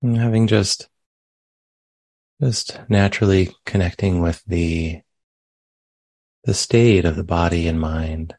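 A man leading a guided meditation as a teacher, speaking gently and unhurriedly with long pauses. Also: low-pitched, no echo.

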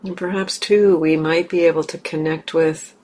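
A woman meditation teacher leading a guided meditation gently and haltingly, in short phrases with long pauses. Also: sits low, steady tone. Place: meditation center hall. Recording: clear background noise, room ambience.

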